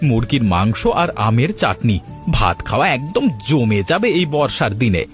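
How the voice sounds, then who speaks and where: A man's voice: medium pitch, sing-song, moderate pace, soft background music, lightly acted. A man voicing a wily, greedy village husband in a cartoon, brash and talkative. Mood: haggling, impatient. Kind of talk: cartoon storytelling voice acting.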